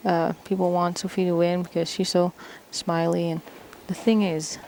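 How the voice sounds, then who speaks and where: A woman's voice: lowish voice, little pitch movement, moderate pace, gravelly, darkish tone. A woman from the Netherlands giving live bowling commentary and analysis in a casual, conversational way. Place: bowling championship venue. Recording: noisy hall, crowd behind. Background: bowling crowd.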